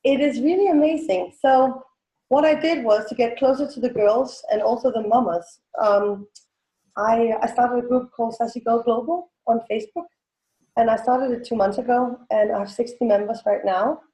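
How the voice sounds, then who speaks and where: A woman's voice: fairly high pitch, moderate pace, mellow. A woman in her forties from Denmark with a Danish accent, a native Danish speaker answering casually as an interview guest, with hesitant, self-correcting phrasing. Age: 40s. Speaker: guest, teen coach and business owner. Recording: roomy, echoing sound.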